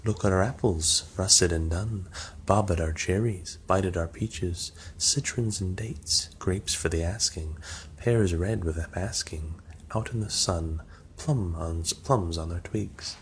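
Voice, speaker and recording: A man's voice: low voice, measured pace. A man voicing sly goblin fruit-sellers in coaxing verse from a storybook poem. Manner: coaxing, verse-like sales patter. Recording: clear background noise.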